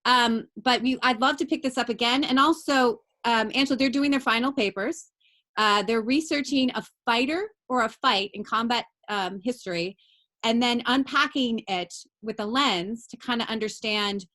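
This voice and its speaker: A woman's voice: on the high side, moderate pace. Chatty woman teacher hosting a video class. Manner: warm, chatty, many fillers. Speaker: college teacher leading the class. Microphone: video call.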